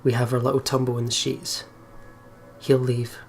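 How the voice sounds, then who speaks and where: A man's voice: mid-range voice, flat delivery, moderate pace. A man hosting a let's play, casually commenting and reading story text aloud. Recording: game music behind the voice. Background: visual novel game music.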